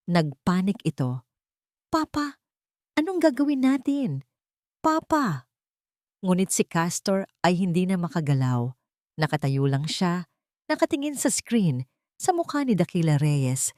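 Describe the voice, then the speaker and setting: A woman's voice: lowish voice, dry recording, studio quality. A woman reading a dramatic scripted story as a storyteller.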